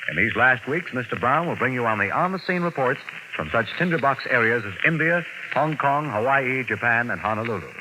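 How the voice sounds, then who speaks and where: Noisy vintage radio, male announcer, American accent, mid pitch, formal, promotional, scripted broadcast delivery. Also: expressive, brisk. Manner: formal, promotional, crisply phrased. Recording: noisy old radio recording.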